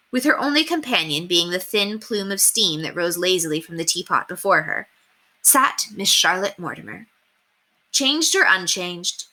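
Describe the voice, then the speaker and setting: A woman reads a story aloud with careful, expressive phrasing. Her pitch sits a little low for a woman but moves in lively swings, and her tone is bright and forward. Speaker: teacher reading a book aloud.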